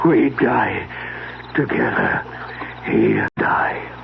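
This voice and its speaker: Slow, gravelly dying man proclaiming doom. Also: lowish voice, wildly swooping pitch, full character voice. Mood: dying, solemn. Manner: theatrical, halting, incantatory delivery. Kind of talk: 1970s radio drama acting. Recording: dull, noisy old radio recording.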